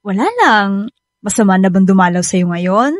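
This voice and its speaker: An actress playing a frank, pushy young best friend with a Filipino accent in a radio drama. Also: female voice, medium pitch, lively intonation, moderate pace, close to an everyday voice. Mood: breezy, teasing.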